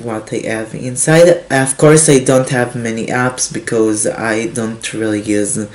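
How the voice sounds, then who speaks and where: A teenage boy from Israel, a native Hebrew speaker, talking in halting English with a Hebrew accent and many fillers while showing his laptop. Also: mid-range voice, darkish tone. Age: teens. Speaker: tech vlogger. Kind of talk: casual unboxing and review vlog. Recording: roomy echo, faint hiss.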